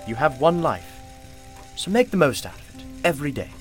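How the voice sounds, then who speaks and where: An actor playing a mysterious, wise shopkeeper in a radio play, speaking in a formal, measured and courteous way. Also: male voice, fairly high pitch, very animated. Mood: gently encouraging. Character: mysterious, patient, wise male shopkeeper of a magical shop. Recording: loud music behind the voice.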